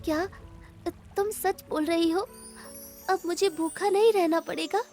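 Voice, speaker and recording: A woman voicing a meek, mistreated young girl in an animated moral story, timid and plaintive. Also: very high voice, a little slow, soft background music. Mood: amazed.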